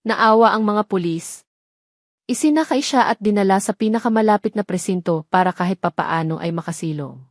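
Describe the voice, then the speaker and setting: A woman narrates a dramatic audio story in a medium-pitched voice. She reads in a measured way, setting short phrases apart with pauses. The sound is dry and free of room echo.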